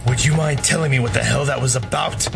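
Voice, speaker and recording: A man's voice: mid-range voice, fast talker, vocal fry, over music, natural voice. A man voicing an easygoing, friendly drifter and former warrior. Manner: relaxed, friendly, wry.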